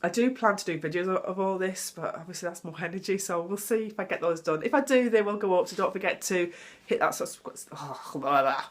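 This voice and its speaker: A woman's voice: fairly low pitch, fairly quick. A young woman vlogging at home, chatty and rambling, sounding congested from a cold. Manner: chatty, rambling, self-deprecating. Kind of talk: casual home vlog. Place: at home, indoors. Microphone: phone or camera mic.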